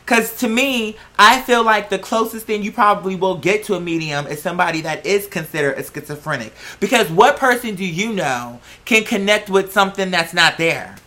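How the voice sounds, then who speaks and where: A bright, forward voice with an American accent and a medium pitch. The host talks in a casual, animated way, full of slang and swearing, rambling through opinions with plenty of emphasis. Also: moderate pace, hardly any pauses. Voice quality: slangy speech peppered with "girl" and swearing. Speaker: host of a talk show vlog. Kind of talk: casual opinionated solo chat.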